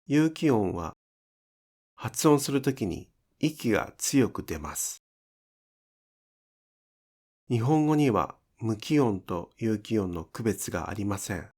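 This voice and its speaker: A man's voice: lowish voice, very animated, slow, pauses often, a little mellow. A man giving scripted instructional narration as a pronunciation teacher, enunciating carefully step by step.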